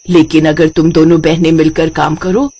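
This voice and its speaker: A woman voicing a gentle, admonishing mother who appears in a dream in a children's cartoon story. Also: low voice, level tone, normal speed, husky, pitched below the performer's own voice. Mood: advising.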